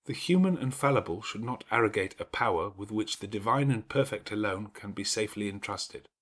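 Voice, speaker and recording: A man voicing a grave, composed young governess in a classic novel, with measured, restrained and formal speech. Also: lowish voice, animated, a bit bright. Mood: firm, cautious. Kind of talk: dramatized classic novel audiobook reading.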